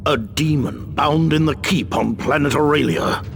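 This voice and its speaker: A man's voice: on the high side, lively intonation, measured pace, vocal fry. A man playing a concerned, thoughtful warrior scholar in a video game cutscene.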